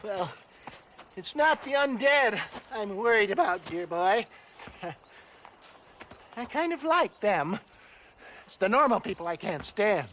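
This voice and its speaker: A high-pitched, theatrical old swindler. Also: male voice, big pitch swings, takes it slowly, pauses often, fairly bright tone, slight background noise, exaggerated voice. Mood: indignant, pleading. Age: older. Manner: theatrical, verbose, hemming and exclaiming. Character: jittery, wheedling old snake oil salesman, theatrical swindler. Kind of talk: video game cutscene acting.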